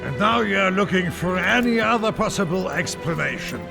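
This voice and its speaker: An actor playing a bitter, defiant, war-weary career soldier in a game cutscene, slow and scornful, with blunt delivery. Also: male voice, high voice, lively intonation, creaky. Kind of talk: dramatic game cutscene acting. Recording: dramatic music behind the voice.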